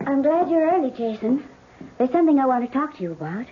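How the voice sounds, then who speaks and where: An actress playing a genteel, regretful woman of the theatre in a 1970s radio drama, halting and apologetic. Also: high-pitched, big pitch swings, heavy background noise. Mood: regretful, evasive. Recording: thin, tinny old radio broadcast.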